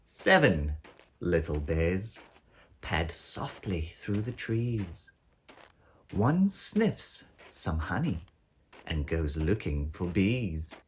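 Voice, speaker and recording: Slow, very animated male storyteller. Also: sits low. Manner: expressive sing-song storytelling with deliberate phrasing. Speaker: children's story narrator. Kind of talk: read-aloud picture book narration. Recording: faint background noise with sound effects.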